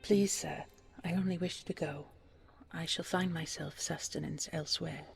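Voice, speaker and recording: A humble storybook youth, played by a woman, speaks with earnest courtesy. The voice is creaky and pleading, pure-hearted and deferential, with music underneath. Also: low-pitched, fairly even intonation, moderate pace.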